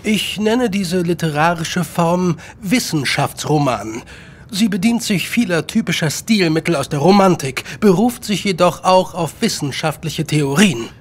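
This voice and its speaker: An actor playing an eager, talkative Victorian gentleman writer in a radio drama, speaking in formal, wordy sentences. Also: high voice, animated, measured pace, breathy edge, full character voice. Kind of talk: German radio drama acting.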